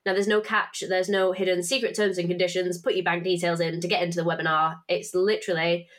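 A young woman with a fairly low voice and a blocked, snuffly nose from hay fever. She hosts her podcast in a loose, chatty way, cursing casually and poking fun at herself. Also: level tone, fast, fairly bright tone. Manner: chatty, swearing, self-mocking. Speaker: podcast host and finance tutor. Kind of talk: casual podcast chat with promotion.

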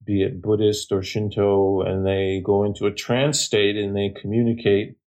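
A middle-aged man from Japan telling a personal ghost story in casual, halting speech with many fillers and restarts. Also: fairly low pitch, little pitch movement, a little mellow, highs cut off.

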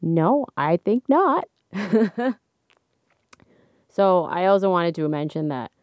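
A young woman hosting a solo podcast, chatting casually into a microphone. She laughs often, and her voice is slightly hoarse. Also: takes it slowly, no echo. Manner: chatty, laughs often, animated swings in pitch. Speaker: podcast host. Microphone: studio microphone.

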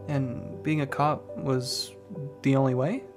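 A man playing a young, downcast, earnest rookie cop in an audio drama, halting and unsure of himself. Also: mid-range voice, level tone, music in the background. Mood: shy, hesitant. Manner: halting, unsure, soft-hearted.

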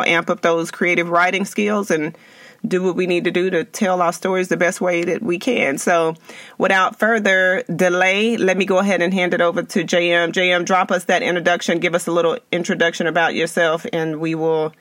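Upbeat high-voiced male podcast host. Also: fairly bright tone, dry recording, clean studio sound. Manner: upbeat, chatty, many restarts. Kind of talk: casual interview.

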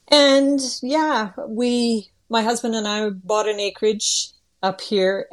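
A middle-aged or older woman from northern Ontario with a Canadian accent, chatting casually as an interview guest in a folksy, plain-spoken way. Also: mid-range voice.

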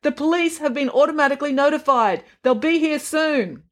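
A woman's voice: high-pitched, fairly quick, voice pushed up, close to an everyday voice. A woman voicing an officious female bank teller in a children's story, calling out and talking back.

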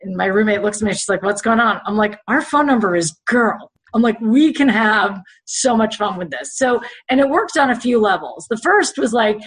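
A middle-aged woman with a raspy voice, a podcast guest chatting casually and telling stories with lots of "like" and "you know.". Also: fairly high pitch, animated, normal speed, few pauses. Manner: chatty storyteller, many "like" and "you know" fillers.

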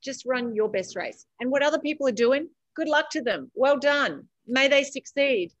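A woman's voice: high voice, animated, normal speed, no echo, dull audio. A woman with an Australian accent giving emphatic, encouraging advice as a guest in a motivational interview, often repeating phrases for effect. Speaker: podcast guest, author and coach.

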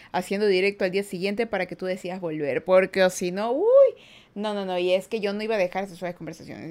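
Give me a young Ecuadorian woman hosting a relaxed livestream podcast, playful and talkative. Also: mid-range voice. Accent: Ecuadorian Spanish, Guayaquil. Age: young adult. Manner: chatty, upbeat, playful asides. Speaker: streamer and podcast host. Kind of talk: casual livestream chat, reading chat aloud. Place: indoors at home, daylight room.

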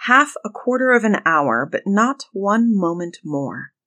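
A woman voicing a stern, murderous, commanding husband in a fairy tale, speaking deliberately and emphatically. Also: medium pitch, very animated, pushed and bright. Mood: stern, merciless. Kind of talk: dramatized fairy-tale storybook reading.

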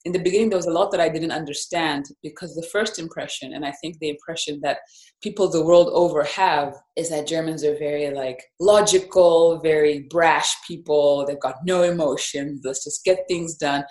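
A woman from Zambia, a life and business coach, telling her story as a podcast guest in a reflective, measured way. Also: deep voice, fairly even intonation, darkish tone. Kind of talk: podcast interview. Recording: roomy echo.

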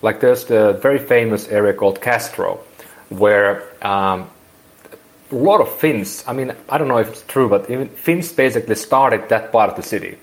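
A man's voice: fairly low pitch, normal speed. A man in his forties from Finland with a Finnish accent, speaking English as a second language and rambling through an interview with many restarts. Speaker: guest. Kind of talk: casual interview.

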